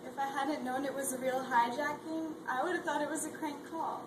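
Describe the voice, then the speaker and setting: A young woman playing a calm phone operator who speaks in a soothing, steady way, in a student readers theatre. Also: sits high, fairly quick, close to an everyday voice. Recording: echoey hall from far off, very noisy.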